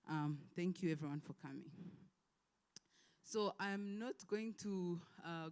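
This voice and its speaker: A woman's voice: on the low side, a little mellow. A woman speaking as an event host, giving an informal, polite and somewhat hesitant welcome speech.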